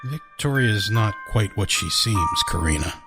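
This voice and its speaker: A woman voicing a calm, discreet male volunteer guide in an audio drama, terse and understated. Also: extremely low pitch, unhurried, over loud music, voice pushed down.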